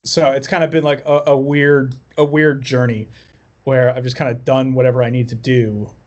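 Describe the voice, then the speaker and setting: A man's voice: medium pitch, level tone, normal speed, dull audio. A man chatting casually as a musician guest on a podcast, telling personal stories in a wry, self-deprecating way. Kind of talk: casual chat, personal storytelling.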